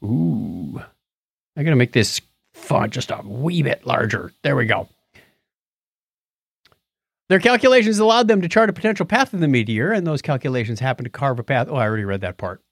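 A man's voice: mid-range voice, sing-song, a little fast, creaky, long pauses, breathy edge, no room sound, clean studio sound. A man with a wry, joking manner, co-hosting a podcast and reading news articles aloud in casual chat. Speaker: podcast co-host reading odd news stories.

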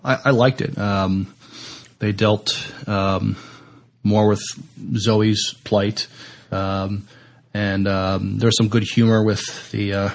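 A man with a fairly low voice and very flat intonation chats casually as a podcast panelist. His delivery is halting, feeling its way through each sentence. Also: creaky. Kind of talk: casual discussion of TV science fiction.

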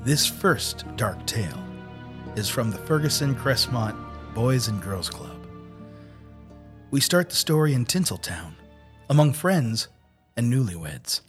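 A man's voice: mid-range voice, very animated, unhurried. A man hosting a radio mystery show, reading a spooky scripted introduction over music. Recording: music behind the voice.

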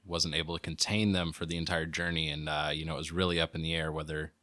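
Very deep, bright, dry-miked man chatting. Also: little pitch movement, fast talker, no echo. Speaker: podcast co-host. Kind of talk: casual chat.

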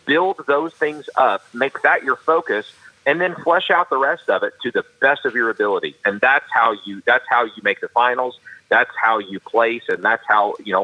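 A man with a carrying baritone voice, a professional auctioneer giving earnest, detailed interview answers with frequent restarts. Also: muffled recording. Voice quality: baritone voice that carries. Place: studio.

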